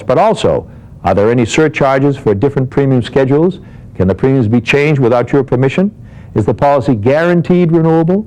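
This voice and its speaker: Mellow man reading practical advice. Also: mid-range voice, low-quality recording. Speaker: financial advice segment presenter. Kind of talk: scripted advisory narration.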